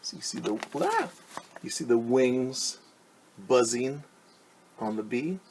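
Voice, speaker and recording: A man reading a picture book aloud as a teacher, pausing to ask children questions and explain things simply. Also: medium pitch, expressive, a little mellow, a little hiss or hum.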